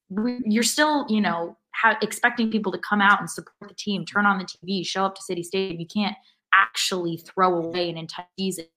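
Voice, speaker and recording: A woman's voice: medium pitch, bright, forceful tone. A woman co-hosting a podcast in casual, conversational sports talk peppered with filler words. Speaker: podcast co-host.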